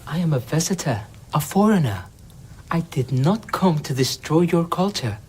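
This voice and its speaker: A man's voice: fairly high pitch, lively intonation, normal speed, a little mellow, natural voice. An actor playing an enslaved young foreign man in an audio drama, defiant and questioning. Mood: challenging. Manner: challenging, pointed. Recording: clear background noise.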